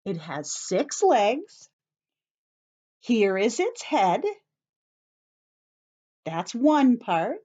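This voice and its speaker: Slow, expressive woman teaching young children. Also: fairly high pitch. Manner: simple short phrases, addressing children directly, careful emphasis. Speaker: children's teacher reading a picture book. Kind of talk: story time for young children, read-aloud and explanation.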